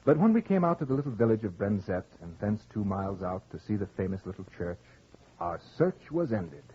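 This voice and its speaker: An actor playing a young painter husband who tells his tale in literary, measured phrases in an old radio drama. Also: on the low side, very animated, husky. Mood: wistful, reflective. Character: young painter husband, wistful storyteller, tender and teasing. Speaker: actor narrating in character. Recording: dull vintage radio broadcast with music.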